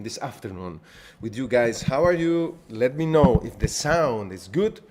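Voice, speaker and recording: Spanish-accented guy hosting a live stream, mid pitch, loose and repetitive, restarts his sentences. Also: a little mellow. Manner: chatty, greets viewers by name, repeats phrases, restarts sentences. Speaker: head trading coach hosting a live webinar. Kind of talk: casual live-stream chat.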